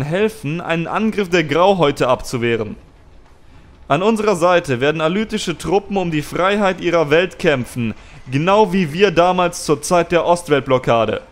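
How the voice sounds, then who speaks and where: Man acting a higher-pitched, confident military commander. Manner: clipped, commanding, self-assured. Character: confident, cocky military commander briefing his soldiers. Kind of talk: audio drama acting.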